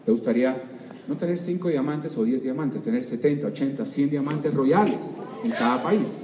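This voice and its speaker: An older man with a Cuban accent giving an energetic motivational seminar talk, constantly quizzing his audience. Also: mid-range voice, normal speed. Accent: Cuban Spanish. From Cuba. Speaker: seminar speaker. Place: large auditorium. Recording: echoey hall, distant mic, faint crowd.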